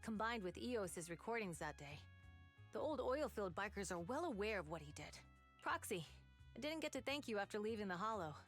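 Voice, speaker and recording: A woman's voice: medium pitch, expressive, brisk, natural voice. An actress playing a bold, determined young female biker gang leader in a video game, speaking casually. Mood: frank. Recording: game music underneath.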